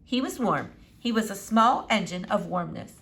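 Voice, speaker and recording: Animated woman reading a storybook aloud. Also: mid-range voice, normal speed, vocal fry. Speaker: storybook reader. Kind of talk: expressive read-aloud narration.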